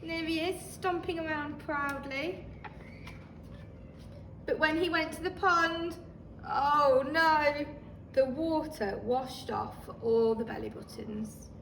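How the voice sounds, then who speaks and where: A woman reading a children's story aloud like a teacher, expressive and talking listeners through the pictures. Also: high voice, slow, vocal fry. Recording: roomy, distant microphone, faint noise.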